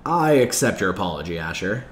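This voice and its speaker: A man's voice: deep voice, very animated, brisk, reverberant, slight background noise. A man voicing a patient, amused male school instructor in a story, formal and pedantic.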